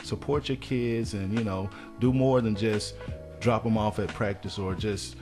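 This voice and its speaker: A man's voice: fairly low pitch, fairly even intonation, unhurried, music in the background. A man speaking as a guest on a radio interview. He is a mentor and counselor, and he earnestly urges parents to support their kids.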